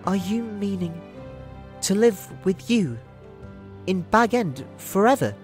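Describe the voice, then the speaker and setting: An eager young hobbit boy, as a male narrator puts him on, pitched high and lively. He is affectionate and open, in a storybook drama with music behind him. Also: slow. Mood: puzzled, curious.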